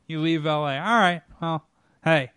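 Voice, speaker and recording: A man's voice: a little high, unhurried, fairly bright tone, clean tone, no echo. A man hosting a podcast in casual chat, jokey and laughing often, swearing freely. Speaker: podcast host.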